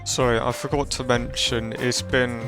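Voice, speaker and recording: Male streamer, casual game commentary: low-key, level delivery, clear mid-pitched voice. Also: level tone, moderate pace. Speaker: gaming streamer. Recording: faint game music behind.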